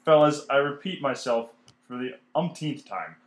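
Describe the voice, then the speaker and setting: A man's voice: medium pitch, animated, moderate pace, lightly acted. A man acting an impatient, exasperated 1930s talent agent in old-time radio comedy, brusque and streetwise. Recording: roomy, echoing room sound.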